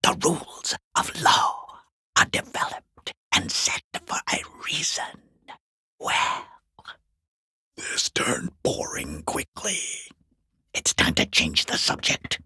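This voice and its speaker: Casting: sinister, taunting watcher in a video game, breathy raspy whisper, slow sarcastic delivery, close dry sound. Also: male voice, a bass voice, wildly swooping pitch, pushed and bright, no room sound, full character voice. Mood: bored, needling. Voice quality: hoarse, breathy whispering rasp. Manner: taunting, sarcastic, drawn-out theatrical phrasing. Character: mocking, sinister unseen male watcher sneering from the shadows. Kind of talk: video game character voice lines.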